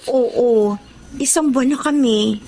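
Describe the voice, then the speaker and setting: High-voiced young Filipino housemaid. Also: female voice, slow pace, mellow, slight background noise. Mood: earnest. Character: trusting, hopeful young housemaid supporting her siblings. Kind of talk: Tagalog radio drama acting.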